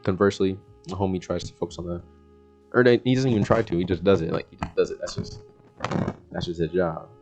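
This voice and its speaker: A man's voice: deep voice, fast talker, darkish tone, soft background music. A young American man giving a casual self-help monologue in a laid-back, slangy, rambling way. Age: young adult. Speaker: vlogger.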